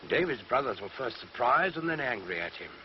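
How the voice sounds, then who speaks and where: A man narrating a scripted children's Bible story in a measured, theatrical, emphatic storytelling manner. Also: mid-range voice, very animated. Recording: dull lo-fi recording, loud music behind. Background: loud music.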